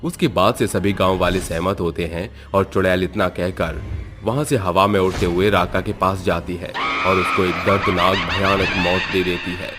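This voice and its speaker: A man's voice: sits low, fairly even intonation, moderate pace. A man narrating a scripted horror moral story as a storyteller. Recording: music behind the voice.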